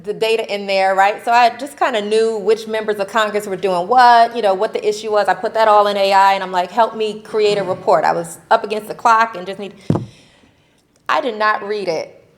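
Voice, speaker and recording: A woman speaking on a convention panel about faith and technology. She talks in an animated, conversational way, laughing often and checking in with her audience with a quick "right?". Her voice sits at an ordinary middle pitch. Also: slight background noise. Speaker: faith-based advocacy panelist. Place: convention session room.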